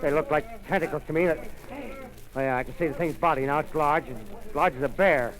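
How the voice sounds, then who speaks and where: A man's voice: fairly high pitch, lightly acted. A man playing a stammering, panicked radio reporter. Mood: shaken. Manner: halting, stammering, increasingly panicked. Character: adult male radio reporter describing an alien landing. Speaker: field reporter. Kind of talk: 1930s radio drama acting. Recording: noisy 1930s radio broadcast.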